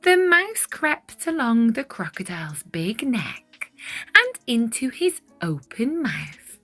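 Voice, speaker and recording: A woman reading a children's picture book aloud in an expressive, deliberate storytelling style with dramatic stress on key words. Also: a little high, soft background music.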